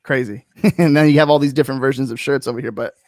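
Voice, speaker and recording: A man's voice: mid-range voice, steady tone, quick pace, darkish tone, no echo, studio quality. A man hosting a casual video-call interview, rambling with frequent restarts and fillers. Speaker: host.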